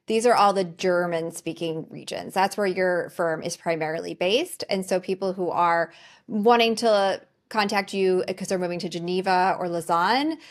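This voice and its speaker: A middle-aged American woman presenting as the host of a webinar. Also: on the low side. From United States. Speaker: webinar host, financial planner.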